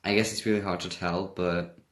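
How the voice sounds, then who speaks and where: A man's voice: low-pitched, steady tone, a little fast, fairly bright tone. A young man from Hamburg with a German accent, chatting casually on a livestream, reading viewer comments and laughing often. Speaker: livestream host.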